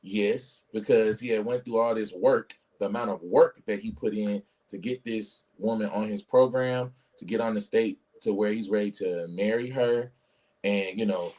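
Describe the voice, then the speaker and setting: A man speaking as a podcast panelist, measured and explaining his points at length. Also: lowish voice, fairly even intonation, darkish tone. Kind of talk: casual discussion.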